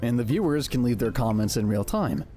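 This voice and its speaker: A man's voice: medium pitch, expressive, a little fast, warm tone, soft background music, close to an everyday voice. A man voicing a young hero adventurer in a manga dub, casual and sardonic, both narrating and speaking in dialogue. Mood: casual, bemused. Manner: casual, wry. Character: young male hero-healer adventurer, sardonic, easily flustered. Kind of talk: anime-style manga dub acting and first-person narration.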